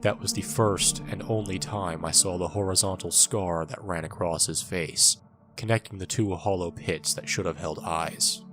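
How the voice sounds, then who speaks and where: A man's voice: deep voice, fairly even intonation, moderate pace, music in the background, close to an everyday voice. A man playing a bitter wounded young soldier, narrating an audio drama in first person with a somber, wry manner. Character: bitter wounded young male soldier recounting his war.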